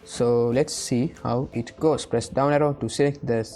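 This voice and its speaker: A woman presenting a casual step-by-step software tutorial in a hesitant way, often checking that the listener follows. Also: very deep voice, fairly even intonation, normal speed, soft background music.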